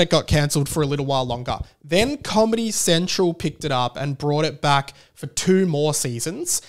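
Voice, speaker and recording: An Australian man hosting a casual solo podcast, chatting in a rambling, self-interrupting way with emphatic repetition. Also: a little high. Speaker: podcast host.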